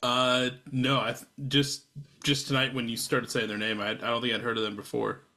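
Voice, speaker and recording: A man's voice: medium pitch, level tone, moderate pace, pushed and bright. A man hosting a casual podcast chat, rambling a little and laughing along with his guests. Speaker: podcast host. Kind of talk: casual chat over beers.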